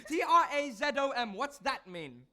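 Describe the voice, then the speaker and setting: A woman's voice: sits high, big pitch swings, moderate pace, pushed and bright, heavily acted. A woman playing a playful, demanding young sweetheart, teasing and insistent, in theatrical stage style. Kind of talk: theatrical stage comedy acting.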